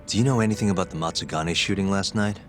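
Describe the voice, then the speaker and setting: A man's voice: deep voice, quick pace, a little hiss or hum, lightly acted. An actor playing a cool, wry detective in his thirties with a dry, terse delivery in a video game scene. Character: cool, wry young male detective in leather jacket. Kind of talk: video game cutscene acting.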